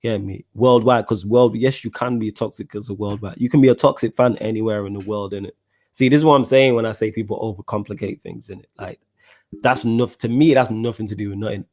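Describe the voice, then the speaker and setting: A man's voice: lowish voice, fairly even intonation, quick pace, darkish tone. A young man with a Mancunian accent hosting a casual football podcast, chatting in slang and answering viewer comments. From Manchester, England. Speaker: podcast host.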